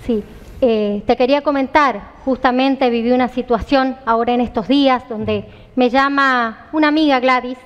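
Woman, Argentine accent, 30s, earnest, candidate interview, headset mic, slightly high, muffled reverberant studio. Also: moderate pace, clean tone. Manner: earnest, emphatic, repeats phrases for stress. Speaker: political candidate being interviewed.

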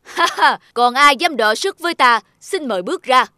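Woman as a loud, boastful thunder god. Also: sits high, expressive, moderate pace, background noise. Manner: swaggering, loud. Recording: music under the voice.

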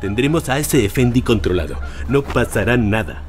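Male trafficker acted, bossy, overbearing, mid-pitched, faint music, audio drama. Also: lively intonation, normal speed, natural voice. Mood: domineering.

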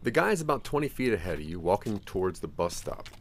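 A man's voice: fairly low pitch, big pitch swings, fast, slight background noise. A man narrating a scripted second-person audio drama in a theatrical, ominous storytelling manner.

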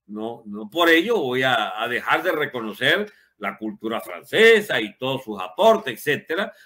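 Male political commentator, seventies. Emphatic, opinionated off-the-cuff monologue, bright and sharp with big pitch swings. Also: very animated, normal speed. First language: Spanish. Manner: emphatic, rhetorical questions, colourful wording.

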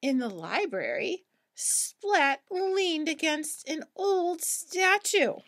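A woman reading a children's picture book aloud in an expressive, dramatic storytelling manner, like a preschool teacher. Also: sits high, extremely slow.

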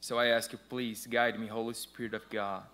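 A man's voice: medium pitch, little pitch movement, moderate pace, sharp tone. A man in his thirties from Brazil with a Brazilian Portuguese accent, speaking English carefully as he prays and begins his testimony in church. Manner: earnest and careful, slightly hesitant. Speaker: church member giving testimony. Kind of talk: personal testimony and prayer. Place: church sanctuary stage, at a pulpit.

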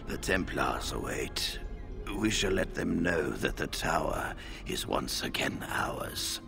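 A weary, grateful old mage leader from a fantasy game. The voice is cavernously low and full of gravel, slow and formal with a breathy grumble, music swelling behind it. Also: male voice, lively intonation, exaggerated voice. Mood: grave, grateful. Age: older.

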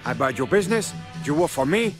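An actor playing a brash, hot-tempered Cuban-American crime boss with a Cuban accent, blunt, profane and domineering. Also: male voice, fairly high pitch, very animated, a little fast, soft background music. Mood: swaggering, pushy. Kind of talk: video game character acting.

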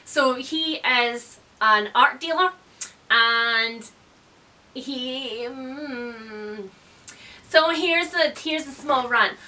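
A woman with a very bright, forceful voice that sits a little high. She talks casually as a book reviewer, blunt and wry, happy to give her opinion. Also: very slow. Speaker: book reviewer, video host. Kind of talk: casual chatty book discussion. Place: indoors, room lined with bookshelves.

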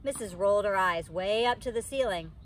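A woman's voice: medium pitch, fairly quick. A young woman reading a children's book aloud like a teacher, expressively acting out the characters. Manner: animated, acts out the characters. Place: outdoors under a tree, in a wicker pod seat. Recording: outdoor background noise.

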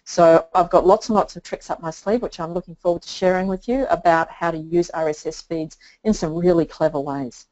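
A woman's voice: lowish voice, steady tone, a little fast, hardly any pauses, mellow. Chatty older New Zealand woman on a call. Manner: chatty, self-mocking. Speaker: guest expert. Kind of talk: webinar talk. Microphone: video call. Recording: dull lo-fi call audio.

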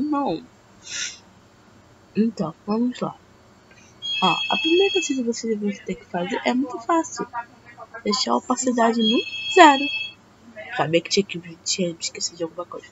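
Hoarse teen girl with a cold. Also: fairly high pitch, very animated, normal speed, pauses often. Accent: Brazilian Portuguese. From Brazil. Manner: chatty, hesitant, talks to viewers as "gente". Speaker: drawing tutorial YouTuber. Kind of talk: casual screen-recorded tutorial. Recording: dull lo-fi mic with faint hiss.

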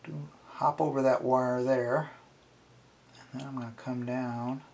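A middle-aged man teaches in a casual, informal way, talking himself through each step. His delivery is slow, with a creaky, gravelly edge, and he tends to trail off into murmurs. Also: medium pitch, little pitch movement, a little mellow. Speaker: instructor. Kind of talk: casual hands-on tutorial, thinking aloud. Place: indoors at a wooden workbench.

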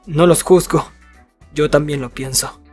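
Man acting anxious anime schoolboy, high-pitched, hesitant stammer, embarrassed, Latin American accent. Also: fairly even intonation, measured pace, faint music behind. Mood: nervous. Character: timid, anxious, embarrassed teenage boy. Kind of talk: anime comic fandub acting.

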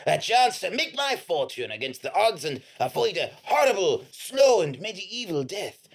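A man's voice: sits high, big pitch swings, normal speed, full character voice. A man playing a hapless, indignant feudal soldier hero in a live comedy drama, spluttering melodramatically and dropping into dry asides. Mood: relieved, theatrical. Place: small theatre stage before an audience. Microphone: stand microphone.

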